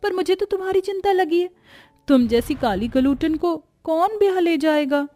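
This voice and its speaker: Cartoon role for a woman: conceited young sister, very high voice, dry sound, scornful and whiny. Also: lively intonation, moderate pace, mellow, no room sound. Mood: boastful, scornful. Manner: taunting, boastful, whiny. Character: vain, haughty young woman mocking her sister.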